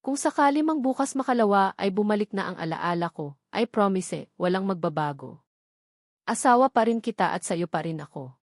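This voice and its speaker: A woman's voice: mid-range voice, expressive, a little fast, no echo, clean studio sound. A woman voicing a devoted but unsure young wife in an audio romance novel, speaking earnestly and soothingly. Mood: reassuring.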